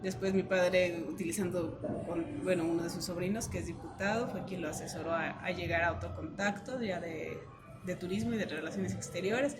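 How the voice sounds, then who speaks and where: A woman with a Mexican accent telling a personal story casually as an interview guest, animated when she retells exchanges. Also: on the low side, fairly quick, heavy background noise.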